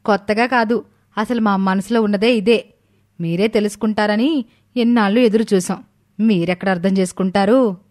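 A woman's voice: mid-range voice, fairly even intonation, moderate pace, pauses often, darkish tone, natural voice. A woman as a haughty, sneering sister-in-law. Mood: scornful, blunt. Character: haughty, scornful elder daughter-in-law.

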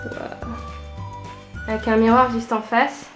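A woman's voice: a little high, flat delivery, takes it slowly. A woman in her twenties giving a casual, enthusiastic holiday vlog tour on a handheld camera in a villa. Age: 20s. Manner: enthusiastic, exclamatory. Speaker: vlogger. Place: holiday villa, rooms and terrace. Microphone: handheld camera at arm's length. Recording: echoey rooms, music over the voice.

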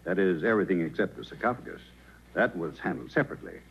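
An actor playing a hesitant, earnest male professor with a gravelly, husky voice, in 1940s radio style. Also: deep voice, fast talker, exaggerated voice. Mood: earnest recollection. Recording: muffled old radio recording.

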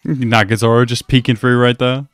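A man's voice: medium pitch, level tone, normal speed, clear, resonant tone, faint music behind. A man in his twenties giving slangy, amused reaction commentary in a casual way, close to a desk microphone. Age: 20s. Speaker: reaction video host. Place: small indoor room.

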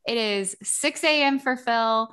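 This is an upbeat woman in her forties who hosts a podcast. She talks readily and warmly greets her guests over a video call. She speaks into a headset mic from a room at home. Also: a little high, measured pace, smooth. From Texas. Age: 40s. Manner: upbeat, welcoming, talkative. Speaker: podcast host.

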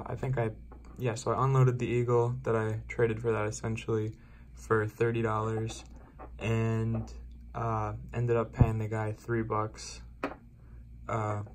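A young man chatting casually as a coin-collecting vlogger, relaxed and rambling with filler words, filmed on a phone. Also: fairly low pitch, slight background noise. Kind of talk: casual vlog chat. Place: car, then indoors at a desk.

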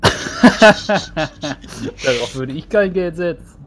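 A man's voice: on the high side, lively intonation, extremely slow, fairly bright tone, pitched above the performer's own voice, heavily acted. A man playing a nosy, teasing adventurer in a tabletop game, casual and wry. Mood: teasing, curious. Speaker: player. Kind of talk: in-character tabletop roleplay. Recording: faint background noise.

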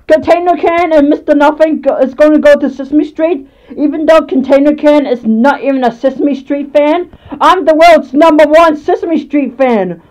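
A woman's voice: high-pitched, moderate pace, darkish tone, lightly acted, poor audio. A woman voicing a jealous, scheming unicorn superfan, melodramatic and muttering, in a homemade comedy skit. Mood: scheming, desperate.

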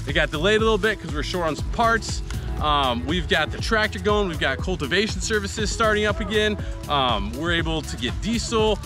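A man's voice: high voice, animated, normal speed. A man in his forties giving a casual, upbeat video update outdoors by a cornfield, with filler words and music behind. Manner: upbeat, chatty, frequent fillers. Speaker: farm nonprofit founder.

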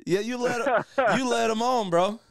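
A man's voice: very high voice, moderate pace, creaky, no room sound, studio quality. A young American man hosting a podcast with casual, teasing banter full of slang. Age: young adult. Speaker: podcast host. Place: small indoor podcast room. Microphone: boom-arm microphone.

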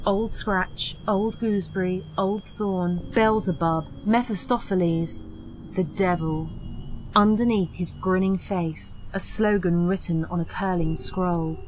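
A woman's voice: on the low side, fairly even intonation, slow pace, darkish tone, slight background noise, low-quality recording. A woman reading a scripted horror audiobook as narrator, with a dramatic, suspenseful delivery.

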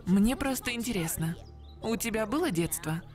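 A woman plays a teenage boy hero from a fantasy cartoon. He is curious, cocky and quick to complain, with a mid-range youthful voice over background music. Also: mid-range voice, lively intonation, darkish tone, close to an everyday voice. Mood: impatient, curious. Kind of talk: Russian dub of animated fantasy series.

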